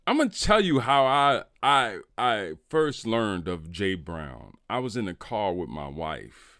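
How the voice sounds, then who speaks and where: A middle-aged man hosting a casual interview podcast, talking in an animated, effusive way with plenty of slang. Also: lowish voice, a little slow, no echo, studio quality.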